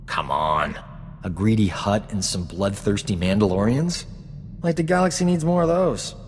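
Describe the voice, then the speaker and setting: An actor as a low-voiced, cocky rogue space doctor. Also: male voice, expressive, moderate pace, big-room sound, slight background noise, natural voice. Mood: self-justifying. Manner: smooth-talking, self-satisfied, glib. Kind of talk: video game dialogue acting.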